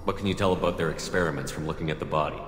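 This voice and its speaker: An actor playing a steady, principled human military commander in a video game, direct and matter-of-fact. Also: male voice, extremely low pitch, racing, a little hiss or hum. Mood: inquiring. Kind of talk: video game character acting. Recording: echoey hall reverb, music behind. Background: game music.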